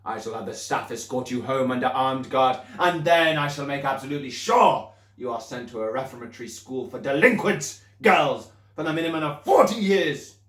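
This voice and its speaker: A man voicing a huge, bullying female headmistress who rants in booming, scornful tirades. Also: expressive, moderate pace, fairly bright tone, natural voice. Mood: raging. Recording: roomy echo.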